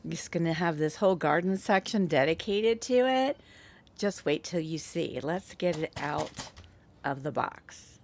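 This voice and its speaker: Woman, low voice, slow gushing gardening vlog, faint outdoor noise. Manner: gushing and excited. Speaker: gardening channel host. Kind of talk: casual vlog narration.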